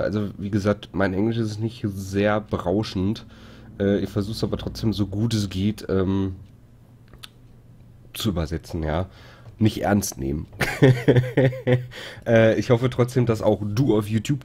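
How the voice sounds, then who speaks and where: Chatty, low-voiced male game streamer. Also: a little mellow, a little hiss or hum. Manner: chatty, rambling, full of fillers, greets chatters. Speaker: let's play streamer. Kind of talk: casual live-stream commentary over gameplay.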